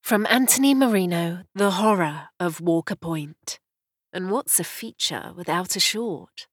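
A woman giving a scripted, atmospheric radio drama introduction in a measured, ominous storytelling manner. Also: lowish voice, lively intonation, husky, no room sound, studio quality. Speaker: announcer, narrator. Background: music.

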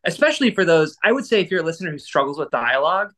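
A man's voice: fairly high pitch, big pitch swings, racing, fairly bright tone. A man co-hosting a podcast discussion, talking in a thoughtful, articulate, explanatory way. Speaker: podcast co-host.

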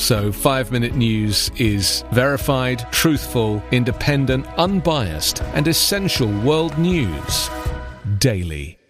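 Promo read: a man in the middle range, earnest and persuasive, with music clearly underneath. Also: mid-range voice. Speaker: news podcast host in a promo.

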